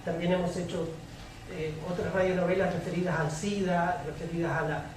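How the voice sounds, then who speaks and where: A woman's voice: on the low side, fairly even intonation, fairly quick. A woman moderating a public debate, explaining things off the cuff and sometimes searching for words. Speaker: debate moderator from a radio organization. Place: indoor public debate, roomy, picked up from afar. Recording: echoey room, distant mic, faint noise.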